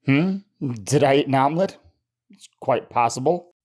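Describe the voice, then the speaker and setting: A man playing a gentle, absent-minded scientist husband in an old time radio drama, musing and hesitant. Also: medium pitch, lively intonation, normal speed, close to an everyday voice. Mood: musing, tender.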